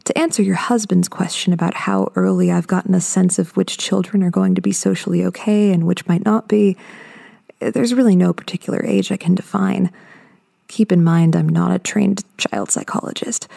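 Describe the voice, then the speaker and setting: An actress playing a measured, cautious schoolteacher, reading her letter aloud in an audio drama in a polite, matter-of-fact way. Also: medium pitch, vocal fry, warm tone, breathy edge. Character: measured, cautious female teacher of young children.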